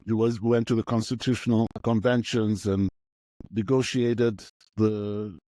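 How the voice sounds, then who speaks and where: A man in his sixties, a radio broadcaster from Nigeria, reminiscing in a relaxed conversational way, often repeating and restarting phrases. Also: fairly low pitch, unhurried, no room sound. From Nigeria, childhood partly in Uganda.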